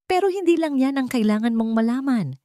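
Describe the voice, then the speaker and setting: A woman's voice: high-pitched, animated, a little fast, warm tone, no room sound, clean studio sound, pitched above the performer's own voice. A woman voicing an ailing mother in a radio drama, emotional, earnest and pleading. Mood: earnest, tearful.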